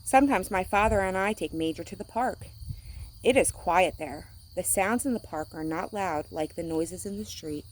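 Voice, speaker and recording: A woman's voice: fairly low pitch, normal speed, rough recording. A woman reading a picture book aloud to children, speaking simply like a teacher to young listeners. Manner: acts out sound words.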